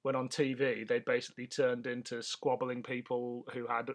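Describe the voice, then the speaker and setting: Man, average pitch, relaxed podcast chat, wry, opinionated, co-host. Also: normal speed. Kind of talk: casual chat.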